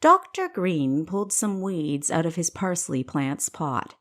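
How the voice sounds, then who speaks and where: A woman's voice: lowish voice, big pitch swings, unhurried, a bit bright. A woman reading scripted fiction as the narrator of her own audiobook.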